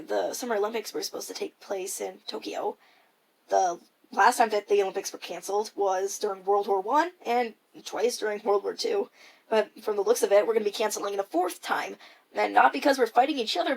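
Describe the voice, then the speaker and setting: A woman's voice: fairly high pitch, creaky, low-quality recording. A teenage girl recording a casual, reflective audio diary, musing hesitantly and trailing off at times. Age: teenager, high school freshman. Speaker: personal audio diarist.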